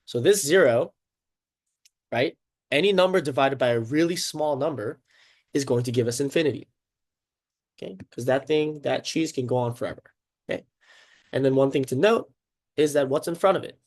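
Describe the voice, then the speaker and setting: A man's voice: mid-range voice, moderate pace, pauses often, darkish tone. Congested man teaching a casual math lesson. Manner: upbeat, chatty, checks in often with "okay" and "right?". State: congested, sniffling.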